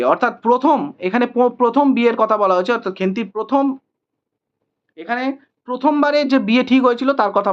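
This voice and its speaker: A teacher giving a question-and-answer exam lesson in a didactic way, repeating questions and answers for emphasis. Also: medium pitch. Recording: slightly dull lo-fi sound.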